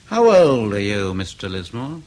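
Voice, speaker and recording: A man's voice: lowish voice, sing-song, a little slow, darkish tone. An actor in radio drama playing a defensive spy in his late forties, curt, sardonic and guarded. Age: 40s. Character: bitter, defensive veteran British spy, aged forty-nine.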